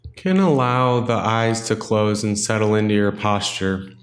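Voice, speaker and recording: A man leading a guided meditation in a gentle, unhurried, instructional way, leaving long pauses between phrases. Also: lowish voice, reverberant.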